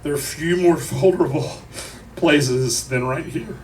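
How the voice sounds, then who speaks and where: Grieving man giving a eulogy, distant and noisy. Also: on the high side. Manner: deliberate, with profanity and wry humor. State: grieving, emotional. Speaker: eulogist at a memorial. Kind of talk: emotional eulogy to a crowd. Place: crowded bar at a memorial. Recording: noisy live room, distant mic.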